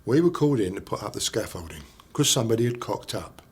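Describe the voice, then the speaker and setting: Cocky veteran scaffolder, defensive and sarky, brushes off rules, training film drama. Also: male voice, fairly low pitch, very animated, quick pace, breathy edge, exaggerated voice. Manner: blunt, sarcastic, defensive.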